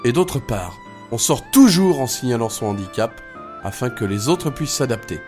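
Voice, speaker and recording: A man acting as a calm, reassuring mentor in an audio drama, encouraging and instructive. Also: mid-range voice, moderate pace, soft background music, close to an everyday voice. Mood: firm, instructive. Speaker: actor in an amateur audio fiction.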